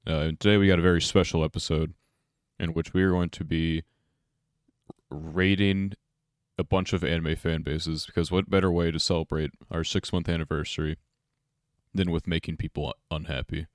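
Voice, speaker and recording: A man's voice: very deep voice, little pitch movement, moderate pace, no echo, clean studio sound. A young man hosting a casual podcast, laid-back and loose, with lots of fillers and chuckles. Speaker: podcast host.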